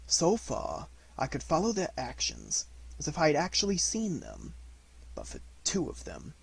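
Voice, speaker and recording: A man giving an expressive, deliberate amateur audiobook reading of a classic detective story, as scripted narration. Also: mid-range voice, gravelly, slightly rough, poor audio.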